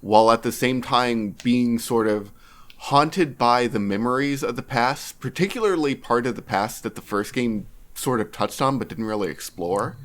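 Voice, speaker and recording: A man co-hosting a podcast in casual discussion, speaking in a measured, halting way as he thinks aloud. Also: medium pitch, a bit bright. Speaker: podcast co-host.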